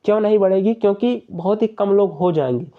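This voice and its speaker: Young male explainer, high-pitched, persuasive and repetitive, on a dull narrow recording. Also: fairly quick. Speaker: exam-prep YouTuber. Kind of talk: informal explainer addressing viewers.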